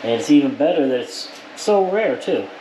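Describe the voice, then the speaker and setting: A man's voice: mid-range voice, animated, moderate pace, mellow, rough recording. A plain-spoken man giving a casual, explanatory hands-on workshop narration to viewers. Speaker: powder coater presenting his shop's work. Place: powder coating workshop. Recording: clear background shop noise.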